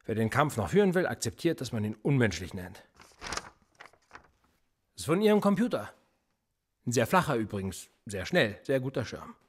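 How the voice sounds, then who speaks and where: An actor playing a cool, sardonic male police interrogator in a radio play, calmly probing and sarcastic. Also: medium pitch, moderate pace, pauses often, breathy edge.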